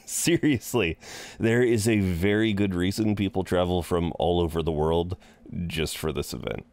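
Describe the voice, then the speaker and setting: A man's voice: low voice, measured pace, darkish tone. A man playing a flirtatious, chatty incubus innkeeper in a roleplay audio drama, welcoming and rambling with hesitant asides. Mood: apologetic, eager. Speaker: innkeeper at a front desk.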